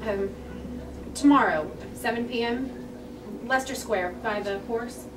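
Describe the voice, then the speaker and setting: A woman's voice: on the high side, measured pace, natural voice. An actress playing a guarded, firm woman under pressure, reading at a comedy script table read. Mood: firm, uneasy. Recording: roomy, distant mic with hiss.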